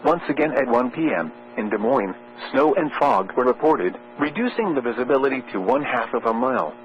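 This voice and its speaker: A man's synthetic announcer voice formally reading a scripted weather bulletin in measured phrases over weather radio. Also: medium pitch, gravelly. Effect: weather radio transmission. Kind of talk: scripted weather forecast and warning readout. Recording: muffled weather radio with mains hum. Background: steady electrical hum.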